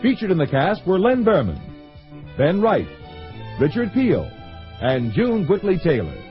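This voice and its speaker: Lively man announcing radio credits. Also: high voice, a little slow. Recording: lo-fi radio broadcast with music.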